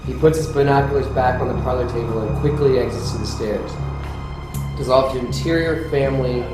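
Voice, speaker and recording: A man's voice: medium pitch, fairly quick. A man leading a screenplay table read as filmmaker, reading directions and talking casually. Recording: very echoey room, loud music behind.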